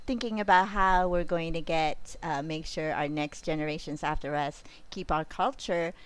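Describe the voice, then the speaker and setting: A woman's voice: low voice, steady tone, normal speed, clean tone. A woman from a Filipino family chatting casually as a co-host, curious and playful, asking many questions. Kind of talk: casual chat.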